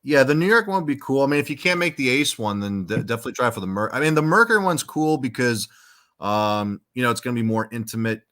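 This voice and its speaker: A man with a very deep voice, a rock singer guesting on a podcast, talking in a relaxed, rambling way with many restarts. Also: fast talker. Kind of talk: casual chat.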